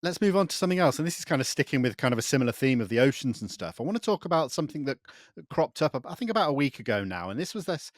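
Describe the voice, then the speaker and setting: A man's voice: mid-range voice, big pitch swings, very fast, hardly any pauses, dry recording, studio quality. A man hosting a casual interview, asking questions in a conversational way. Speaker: interviewer, host.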